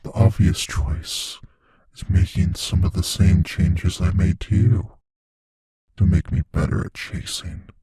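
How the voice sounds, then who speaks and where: An actor voicing a playful, possessive, menacing shape-shifting monster in an intimate audio roleplay, musing slowly in a husky, breathy near-whisper. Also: male voice, deep voice, mellow, no room sound, exaggerated voice. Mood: teasing menace. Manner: slow, musing, savoring pauses.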